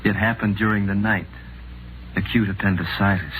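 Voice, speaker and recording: Grave, evasive fellow male actor, low register, guarded delivery, 1940s radio drama with slight hiss. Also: fairly even intonation, moderate pace, fairly bright tone, natural voice, poor audio. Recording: vintage 1940s radio, faint noise.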